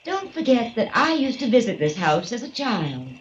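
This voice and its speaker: A woman's voice: a little high, sing-song. An actress playing a scheming blackmailing woman in a 1940s radio drama, sly and mocking. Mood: taunting. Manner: sly, mocking, drawn-out delivery. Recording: lo-fi old radio transcription with hiss.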